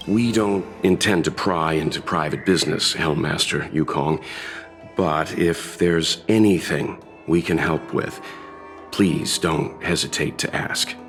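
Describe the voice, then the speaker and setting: Deep-voiced, courteous gentleman warrior. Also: faint music behind. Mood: apologetic, courteous. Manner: polite, measured, formal. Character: calm, courteous older male traveling warrior, gentlemanly and measured. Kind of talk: video game voice acting.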